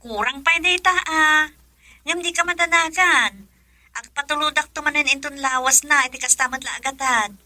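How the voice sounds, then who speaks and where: A devoted Ilocano wife in a radio drama. Her high voice is very bright and sharp, yet sweetly reassuring, in a dry recording. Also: normal speed, no echo. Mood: affectionate. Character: devoted hardworking wife and mother working abroad.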